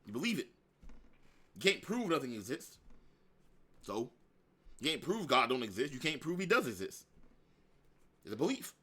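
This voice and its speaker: A man ranting casually in heavy slang and profanity, animated and blunt. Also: mid-range voice, moderate pace, long pauses, breathy edge. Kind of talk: casual rant.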